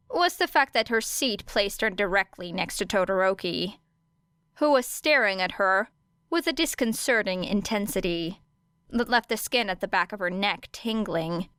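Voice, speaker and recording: A woman narrates fiction as an audiobook reader. Her voice is smooth and clear at a medium pitch, recorded close and dry. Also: lively intonation, measured pace, fairly bright tone, no echo. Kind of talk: scripted story narration.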